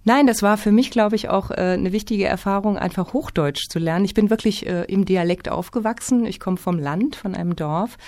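Woman from Hesse, festival organizer, interview answers, lively and engaged, mid-pitched. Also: normal speed, few pauses. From Hesse, Germany. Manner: lively, engaged, with many fillers.

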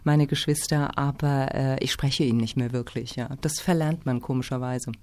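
A woman from Hesse, a literature festival organizer, answering interview questions in a lively, engaged way with many fillers. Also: a bass voice, moderate pace. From Hesse, Germany.

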